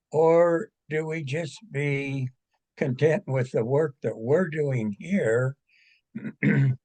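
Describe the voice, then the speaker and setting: Slow, halting man asking a question. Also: medium pitch, a little mellow, dry recording. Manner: slow, halting, searching for words. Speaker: audience member asking a question. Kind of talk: casual question on a video call. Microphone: video call.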